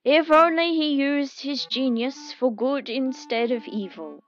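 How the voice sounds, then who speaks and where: A woman's voice: high-pitched, a little slow, lo-fi sound, faint music behind, pitched above the performer's own voice. A woman voicing a lazy, drowsy father teddy bear who sighs a lot. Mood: reflective. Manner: drowsy, sighing.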